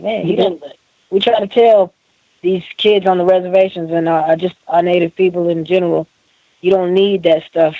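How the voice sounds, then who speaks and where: A man's voice: on the high side, no echo, dull audio. A man from Oklahoma, a rapper being interviewed, talking in a casual, earnest, slangy way. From Oklahoma, United States.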